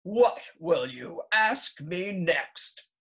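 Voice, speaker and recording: A woman's voice: lowish voice, animated, husky, exaggerated voice. A woman voicing a haughty storybook python, drawing out the words with dreadful scorn. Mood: scornful. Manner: slow, loud, dreadfully scornful. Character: haughty, scornful bicolored python rock snake.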